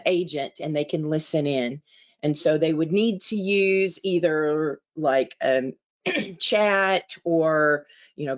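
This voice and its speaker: A woman's voice: low-pitched, dull audio. A woman co-presenting a webinar, explaining instructions in an informal, conversational way. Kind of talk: informal explanation, answering a question. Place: online webinar call.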